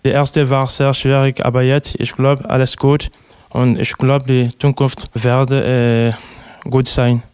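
A man's voice: medium pitch, little pitch movement, slow pace, darkish tone, smooth, dry recording. A young man from Togo answering interview questions in hesitant, simple sentences with many fillers. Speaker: interviewee, refugee. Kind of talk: halting interview answers in learner German.

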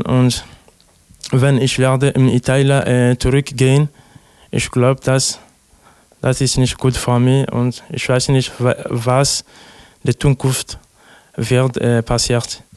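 Slow, flat-voiced man from Togo. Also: medium pitch, clear, resonant tone, dry recording. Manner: hesitant, many "äh" fillers, simple sentences. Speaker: interviewee, refugee. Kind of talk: halting interview answers in learner German.

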